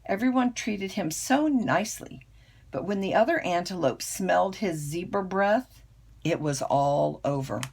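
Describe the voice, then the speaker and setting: An older woman, a grandmother, reading a children's picture book aloud with expressive, storytelling emphasis. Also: fairly low pitch, creaky, husky. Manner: unhurried, dramatic storytelling emphasis.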